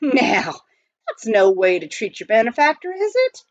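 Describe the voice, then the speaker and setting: A woman's voice: a little high, sing-song, moderate pace, no echo, muffled recording. A woman reading a children's storybook, voicing a big reformed outlaw with a drawling, rustic, amused frontier way of talking. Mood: wry, teasing. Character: reformed outlaw, big man, folksy and teasing. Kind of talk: children's storybook read aloud.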